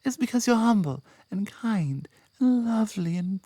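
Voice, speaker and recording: A woman with a British accent playing an affectionate, grateful werewolf girlfriend in an intimate, halting ASMR roleplay, speaking softly close to the microphone. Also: mid-range voice, lively intonation, no echo, close to an everyday voice. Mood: earnest, devoted. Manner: gentle, halting, earnest, often dropping to a whisper. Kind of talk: ASMR roleplay, intimate soft speech with whispers.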